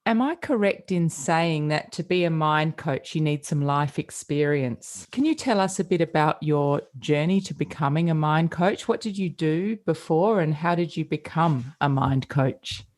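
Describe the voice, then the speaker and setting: A middle-aged woman, hosting a wellbeing podcast in a measured, deliberate way. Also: low voice, a little mellow, dry recording, studio quality. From Australia. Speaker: podcast host. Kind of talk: scripted intro then interview questions.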